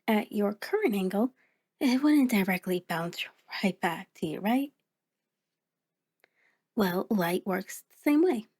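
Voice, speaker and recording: A woman's voice: a little high, a little mellow. A woman voicing a sentient mirror reflection in an ASMR audio roleplay, speaking directly to the listener in a conversational, hesitant, explanatory way. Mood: patient, explanatory. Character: sentient female mirror reflection, sheepish, chatty, patient explainer.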